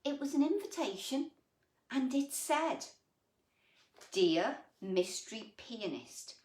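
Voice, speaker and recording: A woman reading a picture book to young children in an expressive, gentle way, with dramatic pauses, drawn-out words and chatty, sympathetic asides. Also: high voice. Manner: gentle, sympathetic, addressing the child listener with tag questions. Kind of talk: animated bedtime story reading with chatty asides to young listeners. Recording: roomy echo, distant microphone.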